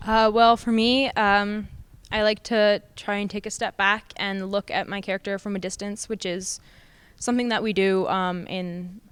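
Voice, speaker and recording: A woman's voice: medium pitch, steady tone, normal speed, a bit bright, smooth. A teenage female student actor with an American accent, giving reflective, conversational answers in an interview. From United States. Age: teens.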